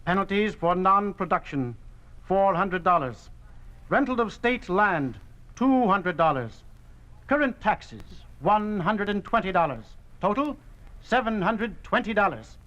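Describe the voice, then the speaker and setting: High pitched little bureaucrat sidekick, old radio drama, clipped n formal, eager to obey. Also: male voice, expressive, unhurried, a bit bright, dull audio. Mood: officious. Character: meek, officious male assistant bureaucrat. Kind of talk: 1950s radio drama acting.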